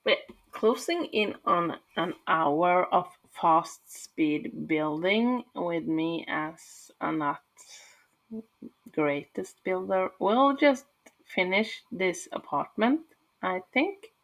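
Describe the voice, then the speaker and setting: Slow, gravelly Norwegian woman. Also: fairly low pitch, low-quality recording. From Norway. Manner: hesitant, many fillers and restarts. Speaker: gaming channel host. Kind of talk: casual build commentary.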